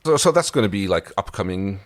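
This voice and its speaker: Male podcast co-host, very low register, informal and jokey, casual episode recap chat. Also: expressive, brisk. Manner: joking, informal.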